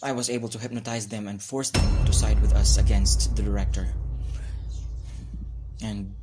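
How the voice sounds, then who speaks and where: A man's voice: lowish voice, fairly even intonation, moderate pace, loud music behind the voice, close to an everyday voice. A young man playing a student recounting how his classmates fought back, in a student radio drama. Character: young male student with hypnosis power. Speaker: student narrator.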